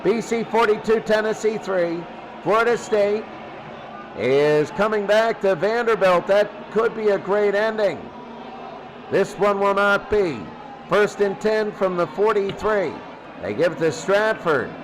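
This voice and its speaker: Clear background noise surrounds a man calling a football game. His voice is high, and he follows the action in short, clipped play-by-play calls. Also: a little slow, low-quality recording. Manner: clipped, present-tense calls. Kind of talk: live sports commentary. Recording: loud noise behind the voice.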